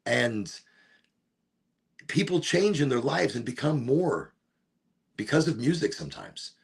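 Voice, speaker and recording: A man with a deep, gravelly voice and a husky edge hosts a relaxed solo session. He rambles loosely from one tangent to the next. His pitch rises and falls in lively swings. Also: a little slow. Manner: rambling, wanders off topic, breaks into off-key singing. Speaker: host of a smoke session. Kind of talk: rambling casual monologue.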